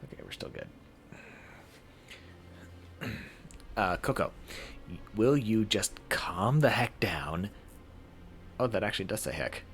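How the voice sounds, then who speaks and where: A man's voice: lowish voice, very animated, slow, pauses often, a bit bright. A man doing a playthrough, reading a visual novel aloud in a theatrical way. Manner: theatrical, performs each line.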